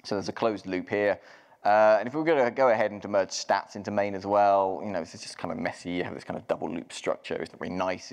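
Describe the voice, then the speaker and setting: A man with a fairly low voice walks an audience through a technical talk on stage. He speaks casually, with frequent ums and self-corrections. His voice carries the long reverb of a large hall. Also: vocal fry. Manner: casual, many ums and restarts. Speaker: conference speaker. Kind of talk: live technical walkthrough.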